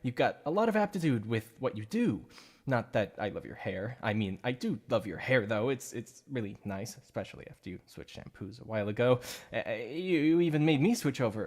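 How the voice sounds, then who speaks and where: A man doing a shy, flustered supervillain. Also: medium pitch. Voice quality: soft-spoken. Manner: flustered, stammering slips of the tongue. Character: shy, obsessive male supervillain recruiter. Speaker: ASMR roleplay performer. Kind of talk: ASMR roleplay.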